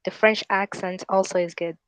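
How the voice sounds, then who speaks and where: A woman in a casual group video call, asking earnest questions in a slightly halting way. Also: mid-range voice, fairly even intonation, fairly bright tone, clean tone, no room sound. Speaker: community member asking for advice.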